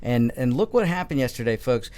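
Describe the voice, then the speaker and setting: A man's voice: medium pitch, lively intonation, fairly quick, darkish tone, smooth. A middle-aged man hosting a talk radio show from a studio, giving an emphatic opinion monologue that is full of restarts and repeated words.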